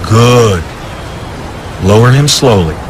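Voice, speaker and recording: An actor playing an earnest marine biologist in a game cutscene. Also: male voice, mid-range voice, lively intonation, slow, background noise. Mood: directing. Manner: earnest, insistent. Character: urgent marine biologist, adult man, earnest. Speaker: field scientist.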